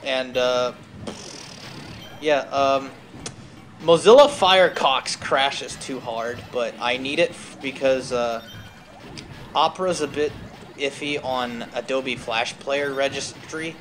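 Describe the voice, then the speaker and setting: A man's voice: medium pitch, takes it slowly, pauses often, fairly bright tone, a little hiss or hum. A man chatting casually as host of a gaming stream, rambling and digressive with bookish word choices. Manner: rambling, digressive, uses academic words.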